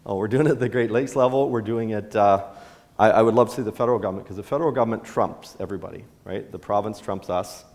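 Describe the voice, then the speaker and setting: Man with low voice in reverberant hall. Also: quick pace, a little mellow. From Canada. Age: middle-aged. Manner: casual, rambling answers with restarts, gestures while standing. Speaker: town mayor. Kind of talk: town hall question and answer. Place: large indoor hall with a stage.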